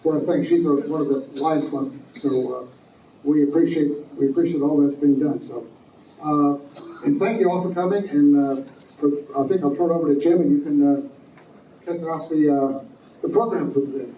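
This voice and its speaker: A man giving informal announcements at a meeting, halting and full of fillers and restarts. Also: on the high side, steady tone, a little hiss or hum. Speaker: society president. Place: meeting hall. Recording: echoey, distant, muffled room recording.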